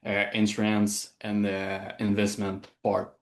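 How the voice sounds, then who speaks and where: A man from Quebec with a Quebec French accent, a native French speaker, answering interview questions in English and often pausing to search for words. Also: lowish voice, completely flat delivery. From Quebec, Canada. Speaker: interview guest. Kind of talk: casual interview.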